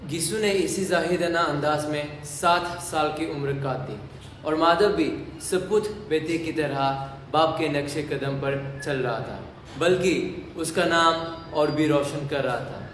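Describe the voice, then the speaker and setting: A man's voice: fairly high pitch, measured pace, a bit bright. A man narrating a scripted story in a dramatic audio-play style. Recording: very echoey room, faint background noise.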